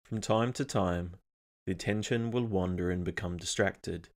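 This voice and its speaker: A man leading a scripted guided meditation in a calm, measured, instructional manner. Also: low voice.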